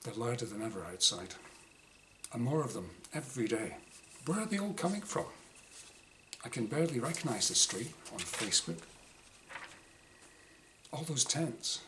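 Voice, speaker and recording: A man reading his own first-person short story dramatically, in clipped diary-like phrases with pauses. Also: medium pitch, very animated, a bit bright. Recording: clearly noisy room ambience.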